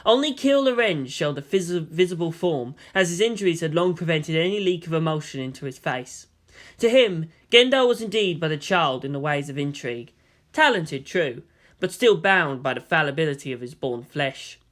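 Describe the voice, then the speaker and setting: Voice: mid-range voice, lively intonation, normal speed, fairly bright tone. A narrator giving a scripted, dramatic reading of anime fan fiction. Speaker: audiobook narrator.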